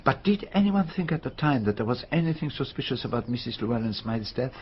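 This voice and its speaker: An actor playing a courteous, probing, mature detective in a radio mystery, speaking formally and precisely. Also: male voice, mid-range voice, sing-song, husky. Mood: curious, pressing. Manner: formal, courteous, measured questioning. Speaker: detective questioning witnesses. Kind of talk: radio mystery drama acting. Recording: muffled radio drama recording, background noise.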